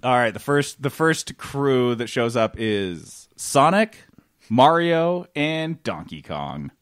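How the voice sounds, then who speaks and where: Mid-pitched man bantering, very dry sound. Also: very animated, takes it slowly, clear, resonant tone, no echo. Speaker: podcast co-host. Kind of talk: casual comedic chat about video games.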